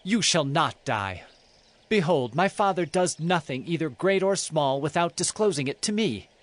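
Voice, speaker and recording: An actor playing a loyal young prince in dramatized scripture, speaking earnestly and reassuringly. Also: male voice, on the high side, expressive, normal speed, lightly acted. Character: Jonathan, loyal young prince and sworn friend. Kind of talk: dramatized scripture audio acting.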